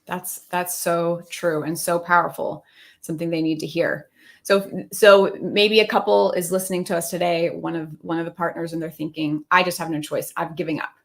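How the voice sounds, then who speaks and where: A woman's voice: lowish voice, level tone, normal speed, vocal fry. A woman around her thirties speaking conversationally as a couples coach on a live video call. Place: indoor room, video call.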